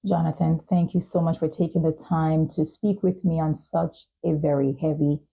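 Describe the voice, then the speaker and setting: A woman's voice: sits low, fairly even intonation, normal speed, gravelly, warm tone, slightly rough, rough recording. A woman speaking as a talk show and podcast host, in interviews and scripted announcements. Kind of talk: interview hosting and scripted sponsor reads.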